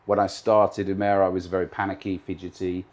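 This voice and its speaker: A man's voice: low-pitched, level tone, a little fast, a little mellow. A man in his fifties, raised in Britain, a live TV director answering interview questions confidently, often stacking points in emphatic lists.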